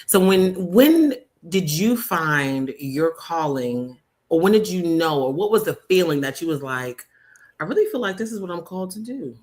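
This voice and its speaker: Animated woman hosting, low voice. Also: moderate pace. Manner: animated, playful, lots of affirming hums. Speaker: host. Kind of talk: live interview show.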